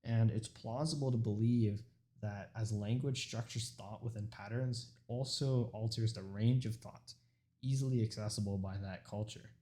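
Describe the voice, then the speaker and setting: Young guy, urdu first language, reading his speech, low-ish mellow voice, trips on a word now and then. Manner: earnest, reads from a script with occasional stumbles and restarts. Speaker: student speaker. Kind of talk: prepared informative speech.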